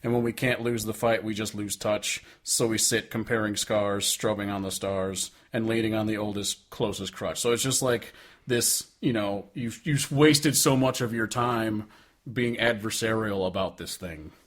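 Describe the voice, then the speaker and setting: A man's voice: lowish voice, normal speed. A man co-hosting a music podcast, talking casually in a frank, sweary, rambling manner. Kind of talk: casual chat about music.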